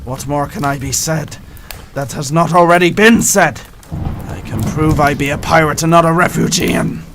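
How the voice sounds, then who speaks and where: A man's voice: on the high side, normal speed, lightly acted. A man playing a boastful old pirate captain, noisy backdrop. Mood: defiant, blustering. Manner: theatrical pirate talk with exclamations. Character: boastful rough old male pirate captain, a sea dog. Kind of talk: radio play acting.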